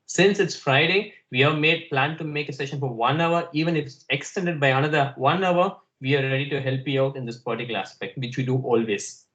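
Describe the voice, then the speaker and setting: A man's voice: mid-range voice, fairly quick, a bit bright. Man with dull, lo-fi audio.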